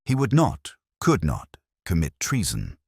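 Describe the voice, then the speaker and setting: Earnest, very deep-voiced gentleman client, read by a man. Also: huge pitch swings, unhurried, creaky, husky, no room sound, clean studio sound, full character voice. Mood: earnest, insistent. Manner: formal, emphatic witness account. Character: earnest, worried gentleman client of a Victorian detective.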